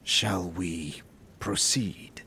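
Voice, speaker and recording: A man's voice: low-pitched, pushed and bright, slight background noise, exaggerated voice. A man playing a stoic, wise warrior-mage who speaks formally and cryptically in a fantasy audio drama. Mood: solemn, calm. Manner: slow, measured, formal, aphoristic.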